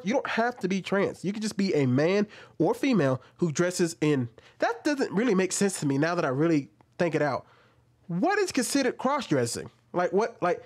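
A man in his thirties hosting casual commentary at home into a studio mic, talking with animated, questioning emphasis. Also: high-pitched. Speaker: commentary host. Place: small room at home, indoors. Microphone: studio mic on an arm, wearing headphones.

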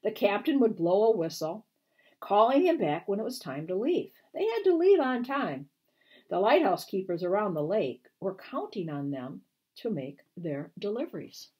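A woman in her sixties or older with a husky voice reads a children's book aloud in a deliberate, expressive way, as an author at storytime. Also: medium pitch. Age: 60s or older. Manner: deliberate, expressive reading with brief explanatory asides. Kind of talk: storytime read-aloud to camera. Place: small living room at home, indoors.

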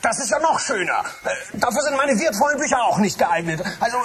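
A man's voice: very high-pitched, lively intonation, fairly quick, background noise. An actor playing a fussy, bookish male puppet who stammers and gets indignant. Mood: flustered.

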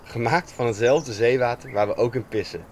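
A young Dutch man, a sailing skipper, reading a calm, matter-of-fact scripted voice-over. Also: medium pitch, moderate pace, slight background noise. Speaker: skipper narrating a sailing documentary. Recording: faint music under the voice.

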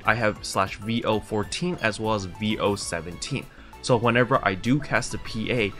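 A man's voice: fairly low pitch, a bit bright. Young man giving a casual game tutorial, faint music. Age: young adult. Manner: explanatory, step by step, conversational. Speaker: video game tutorial host. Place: small room at home, indoors.

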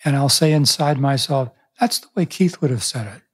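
A man's voice: medium pitch, moderate pace, husky. An older man in his seventies, a pastor and author, telling stories thoughtfully in an interview, often restarting his sentences. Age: 70s. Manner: thoughtful storytelling with frequent restarts. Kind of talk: reflective interview conversation. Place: small room, indoors. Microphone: studio microphone on boom arm.

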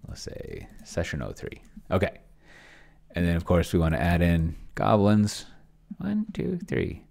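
A man's voice: deep voice, huge pitch swings, a little slow, vocal fry, darkish tone. A middle-aged man casually commenting on a live stream, thinking aloud and muttering in fragments as he types. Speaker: streamer and solo role-player. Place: small indoor home room. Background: computer keyboard typing.

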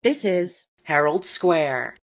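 A woman's voice: low voice, animated, slow pace. A woman giving scripted, formal train announcements in segmented phrases, like an automated transit system.

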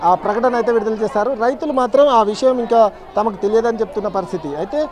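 A man's voice: high-pitched, fast, a little mellow, a faint crowd. A man reporting live outdoors from a road protest, speaking into a handheld mic with frequent hesitation sounds. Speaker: field reporter.

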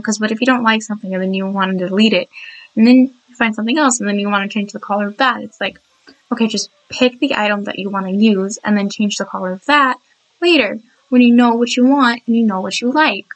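Young woman narrating her build, a bit hoarse, chatty and giggly, higher voice. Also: poor audio. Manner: chatty, giggly, apologetic, many restarts. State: sore throat, voice cracking. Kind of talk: rambling game voiceover.

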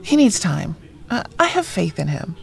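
Blunt, lively, creaky-voiced woman friend. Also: fairly low pitch, moderate pace, heavily acted. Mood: gentle, sympathetic. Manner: blunt, wry, casual. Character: blunt, foul-mouthed, loyal woman friend, homebody. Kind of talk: naturalistic audio drama acting.

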